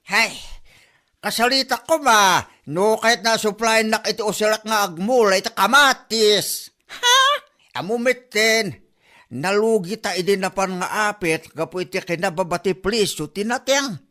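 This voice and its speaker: A woman's voice: medium pitch, sharp tone. A woman acting a humble tomato farmer who speaks politely and deferentially. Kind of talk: amateur comedy drama acting.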